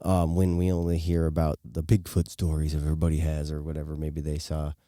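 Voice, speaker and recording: A man with a low, mellow voice co-hosts a podcast. He chats casually and informally, rambling along in a joking way. The sound is dry, with very little room in it. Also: monotone, a little fast, dry recording. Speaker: podcast co-host. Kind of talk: casual chat.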